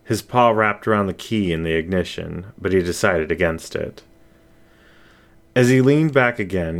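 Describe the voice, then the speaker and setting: Casting: male audiobook narrator, deep pitch, expressive suspense delivery for dramatic fiction. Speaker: story reader. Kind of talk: dramatic scripted story narration.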